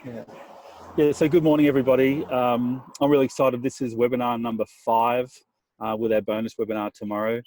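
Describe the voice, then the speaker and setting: A man's voice: mid-range voice, flat delivery, fairly quick, a little mellow, no echo, rough recording. A man earnestly introducing a leadership webinar, speaking with frequent fillers. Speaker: business partner introducing the webinar.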